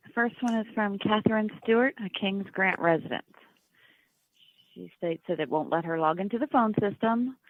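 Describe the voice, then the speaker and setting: A woman's voice: mid-range voice, moderate pace, no room sound. Female clerk reading submissions aloud, dry and narrow telephone-like audio. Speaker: staff member reading public comments. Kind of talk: reading written comments aloud. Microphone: phone or conference call. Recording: dry, tinny phone-like line.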